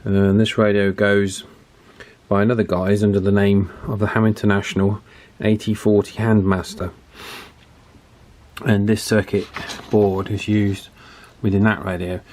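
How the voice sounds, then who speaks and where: Guy at his workbench fixing a radio, lowish voice, lots of ums and restarts, just chatting to the camera. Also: level tone, unhurried, darkish tone, a little hiss or hum. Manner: thinks aloud with fillers and restarts. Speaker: radio repair hobbyist. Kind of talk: casual workbench tutorial.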